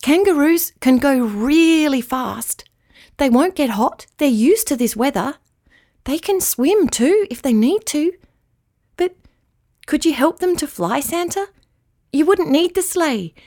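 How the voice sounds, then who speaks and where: A woman voicing an eager, excited young girl in a children's storybook, pleading breathlessly. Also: high-pitched, slow pace, voice pushed up, full character voice.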